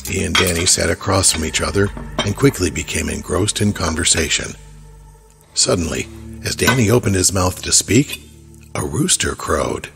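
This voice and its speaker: A man narrating a horror story in a measured, steady way. His voice is very deep and gravelly, with clear music playing beneath it.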